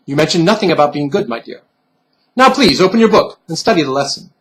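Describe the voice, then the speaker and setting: A man's voice: fairly high pitch, expressive, fast talker, fairly bright tone. A man voicing a stern, patronizing bunny pin who lectures in formal phrases in a storybook reading. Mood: stern lecturing.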